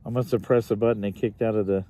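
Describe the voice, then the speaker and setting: A man's voice: lowish voice, steady tone, quick pace, dark tone. A man giving a casual, hands-on gadget demo, unscripted and musing aloud as he tinkers. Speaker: vlogger reviewing a radio.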